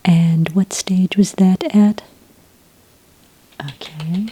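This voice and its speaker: Breathy whispering woman, soft ASMR roleplay. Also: on the low side. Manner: calm, methodical questioning with gentle pauses. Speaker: ASMR roleplayer playing an intake agent. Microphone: close microphone in a dry room.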